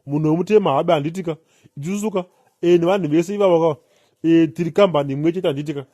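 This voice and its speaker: A man's voice: a little high, quick pace, dark tone, dry recording, studio quality, natural voice. A man voicing a pompous, self-important cartoon police investigator with a Zimbabwean accent, peppering his talk with filler tags. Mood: officious. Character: pompous male police investigator boasting about bomb disposal.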